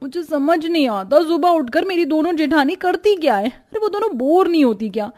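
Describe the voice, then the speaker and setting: High-pitched, sulky young daughter-in-law. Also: female voice, animated, normal speed, darkish tone, soft background music. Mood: indignant, complaining. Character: young sulky daughter-in-law, defiant, whiny, fond of sleep. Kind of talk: Hindi cartoon story dialogue.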